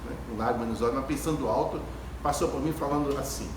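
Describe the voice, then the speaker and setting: Distant noisy phone recording, man, slightly high voice, emphatic spiritual talk, rhetorical questions, repeated phrases. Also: fast talker. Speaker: speaker at a Spiritist gathering. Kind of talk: spiritual lecture to an audience, storytelling and reflective. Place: ecumenical hall before an audience. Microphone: distant phone camera. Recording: echoey hall, distant phone camera, clear background noise.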